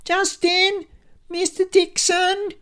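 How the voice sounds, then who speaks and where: Very high-pitched screechy woman played by a male narrator, panicky office receptionist, impatient, melodramatic shrieking, audiobook character. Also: completely flat delivery, slow, pushed and bright, exaggerated voice. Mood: panicked. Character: shrill, panicky, screaming young female receptionist.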